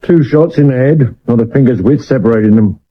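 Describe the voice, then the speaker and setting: An actor playing a brisk, respectful Victorian police sergeant in a 1970s radio drama, plain and matter-of-fact. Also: male voice, mid-range voice, lightly acted. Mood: brisk, dry. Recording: muffled old medium-wave radio recording.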